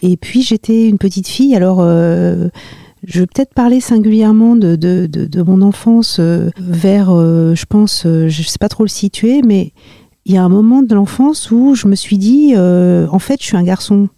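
A woman in her fifties from the Paris region, a podcast guest talking hesitantly with many pauses and fillers. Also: medium pitch, dark tone, no echo, studio quality. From Paris region, France. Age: 50s. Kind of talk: podcast interview.

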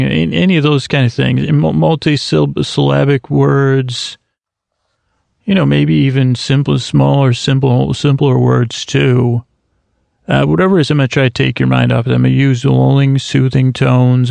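Gravelly, rambling man in his thirties. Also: mid-range voice, flat delivery, a little mellow, no room sound. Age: 30s. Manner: slow, meandering, halting with many restarts and fillers. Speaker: sleep podcast host. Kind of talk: rambling bedtime monologue.

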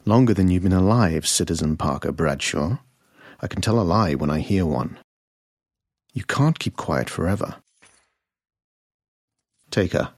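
An actor playing a stern, menacing veteran state interrogator in a sci-fi audio drama, controlled and deliberate in his threats. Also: low voice, lively intonation, no room sound, studio quality, full character voice. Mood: cold threat.

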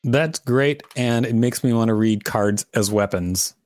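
A man's voice: mid-range voice, unhurried, a little mellow, no room sound, soft background music. A man co-hosting a podcast, reading an encyclopedia article aloud and chatting casually. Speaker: podcast co-host.

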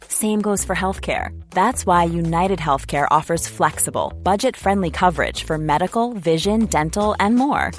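A woman's voice: on the low side, expressive, moderate pace, few pauses, soft background music. A woman delivering scripted advertising narration as a voice-over.

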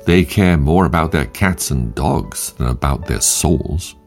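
A man hosting a devotional show, reading short quotes in a measured, emphatic way with long pauses. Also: very deep voice, animated, a little mellow, faint music behind. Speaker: podcast host and devotional reader.

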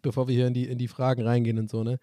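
A man's voice: mid-range voice, flat delivery, rapid-fire, mellow, dry recording. A man co-hosting a casual podcast, joking and rambling with frequent laughter. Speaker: podcast co-host. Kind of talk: casual chat.

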